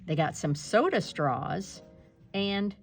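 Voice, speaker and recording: A woman reading a children's picture book aloud in an expressive, animated way, with asides to young listeners. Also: mid-range voice, takes it slowly, soft background music. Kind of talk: expressive read-aloud narration.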